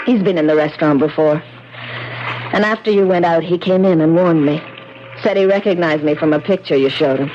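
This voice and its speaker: An actress playing a world-weary, remorseful waitress, pleading earnestly in 1970s radio drama style. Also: female voice, sits low, fairly quick, a little hiss or hum, lightly acted. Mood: tender earnest. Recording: muffled 1970s radio broadcast, music behind.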